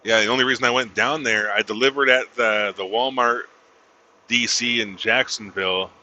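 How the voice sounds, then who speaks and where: A man's voice: mid-range voice, fairly even intonation, moderate pace, sharp tone, slight background noise, low-quality recording. A man in his thirties, a truck driver chatting casually to a live stream from his cab as he drives, laid-back and drawling, saying "man" a lot. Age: 30s. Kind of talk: casual livestream chat while driving. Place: inside a truck cab on the road.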